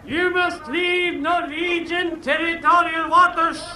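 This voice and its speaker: An actor playing an insistent naval captain calling out to another ship in a war radio drama. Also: male voice, very high-pitched, little pitch movement, pushed and bright, exaggerated voice. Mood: insistent, commanding. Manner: calling out slowly across water. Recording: faint background noise.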